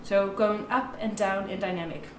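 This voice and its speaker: A woman's voice: medium pitch, fairly even intonation, normal speed, far from the mic, a little hiss or hum. A young woman giving an instructional tutorial to camera as a music teacher, recorded indoors in a bare room. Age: young adult. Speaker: violin teacher. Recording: roomy, echoey indoor sound.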